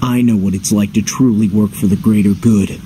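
An actor playing a brooding, self-doubting young warrior hero in a video game, earnest and weary. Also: male voice, lowish voice, steady tone, normal speed, background noise, close to an everyday voice. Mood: indignant. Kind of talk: video game cutscene acting.

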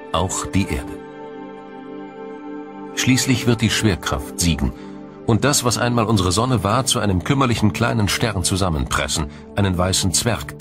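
Deep-voiced male documentary narrator. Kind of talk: scripted science documentary narration. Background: music.

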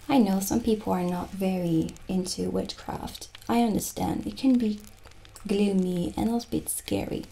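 A woman playing a gentle, dreamy witch shopkeeper in an improvised ASMR roleplay, musing soothingly with pauses. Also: a little high, gravelly. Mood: welcoming, gentle.

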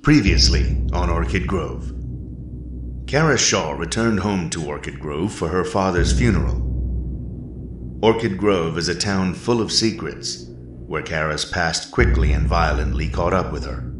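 A man's voice: low voice, lively intonation, a little slow. A man reading dramatic scripted narration as the narrator of a drama recap. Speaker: narrator giving a series recap. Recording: roomy sound, clear background noise.

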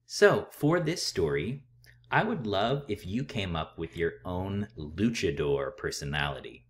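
Man in his thirties, slow pace, low voice, theatrical picture book read-aloud, expressive. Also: slight background noise. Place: small room at home, indoors.

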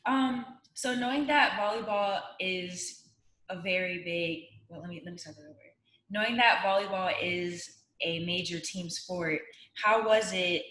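Young woman host asking questions on a video call, lowish voice, roomy echo. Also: a little slow, fairly bright tone. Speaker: interviewer, host. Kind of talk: scripted intro and interview questions. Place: indoor room on a video call.

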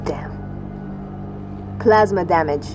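Cool game soldier, very slow delivery, lowish pitch, terse, confident, music behind. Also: female voice. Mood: curt. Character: curt, confident female military tech specialist. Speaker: military tech specialist. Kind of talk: video game cutscene acting.

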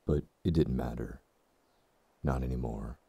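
Male narrator, extremely deep, husky, measured, somber, dry recording. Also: mellow, dry recording. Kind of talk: audiobook narration.

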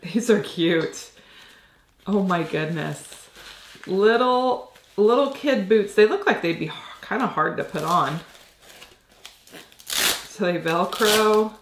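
A woman's voice: medium pitch, slow pace, long pauses, reverberant, slight background noise. A woman in her forties with a slightly nasal voice from a cold, chatting casually as she unboxes items at a desk in her home office. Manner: chatty, thinking aloud while handling items. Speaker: reseller vlogger.

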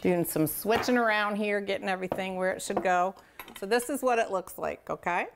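A woman's voice: mid-range voice, fairly quick, background noise. A middle-aged woman hosting a casual cooking demonstration, chatty, folksy and enthusiastic as she talks straight to viewers. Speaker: cooking show host. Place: home kitchen, indoors.

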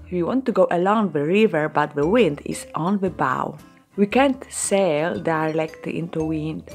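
Polish-native woman, 40s, unhurried voice-over over light music. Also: fairly low pitch. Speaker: vlogger narrator. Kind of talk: voice-over narration.

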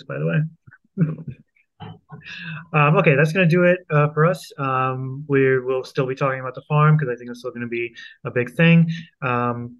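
A man in his thirties hosting a conversational local news discussion, explaining haltingly with repeated restarts. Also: medium pitch. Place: home room, indoors. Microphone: earphone headset on video call. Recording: dull, lo-fi video call audio.

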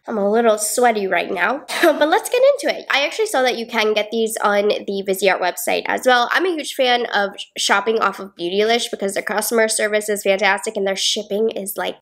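A woman's voice: mid-range voice, a bit bright. A young woman with a congested, slightly nasal voice, chatting upbeat and casually through a makeup tutorial at home. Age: young adult. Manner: chatty, upbeat, laughs often while working. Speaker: beauty vlogger. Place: small home filming room indoors.

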